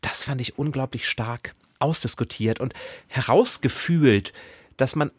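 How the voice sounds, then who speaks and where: A man with a medium-pitched, husky voice hosts a conversational book discussion. He speaks in an articulate, engaged, reflective way. The sound is dry and close. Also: a little slow, no echo. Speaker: podcast host.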